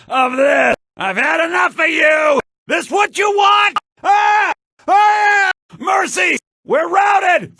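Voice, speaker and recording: A hot-tempered shouting fantasy villager. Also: male voice, extremely high pitch, creaky, dry recording, studio quality, exaggerated voice. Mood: furious, then desperate pleading. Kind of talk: video game combat barks.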